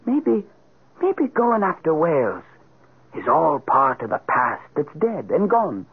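An actor playing a brooding young male war veteran and former whaler, talking in an earnest, halting, stammering way in a radio drama. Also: a little high, wildly swooping pitch, vocal fry, husky, exaggerated voice. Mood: dazed. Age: young adult. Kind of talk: 1940s radio acting. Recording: tinny noisy old radio recording.

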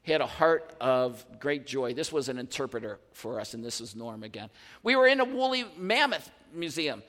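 A man in his fifties, a pastor, preaching a sermon to his congregation in an animated, conversational way with asides and restarts. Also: mid-range voice, moderate pace, in a large hall. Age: 50s. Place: large church hall.

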